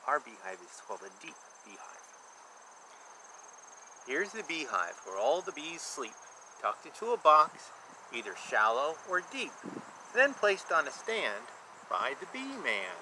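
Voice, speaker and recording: A man in his fifties reads a picture book aloud in a lively, slightly high voice that swings up and down. He explains things simply and emphatically, repeating himself for young children. He is recorded outdoors, with clear background noise and insect chirps. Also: unhurried. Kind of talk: read-aloud storytelling with explanatory asides. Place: outdoor backyard beside a beehive. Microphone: camera at about arm's length.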